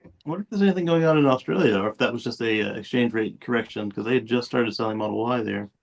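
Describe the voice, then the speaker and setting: Gravelly man co-hosting a casual show. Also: mid-range voice, fast. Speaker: show co-host. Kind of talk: casual panel commentary.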